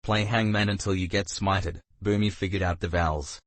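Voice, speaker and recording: A man reading online forum comments aloud in a deadpan way. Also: sits low, moderate pace, no echo. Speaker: comment reader.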